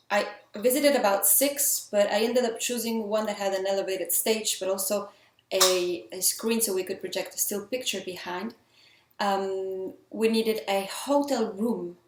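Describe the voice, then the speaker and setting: A woman from Mexico with a Mexican accent tinged with French, talking through filmmaking tips in an earnest, chatty, enthusiastic way. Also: mid-range voice. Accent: Mexican, with some French influence. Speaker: independent filmmaker. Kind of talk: casual talk giving filmmaking tips. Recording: roomy echo.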